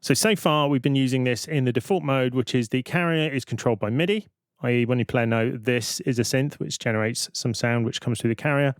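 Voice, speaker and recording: A man giving an informal, step-by-step software demonstration as a tutorial presenter. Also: mid-range voice, brisk, no room sound, clean studio sound.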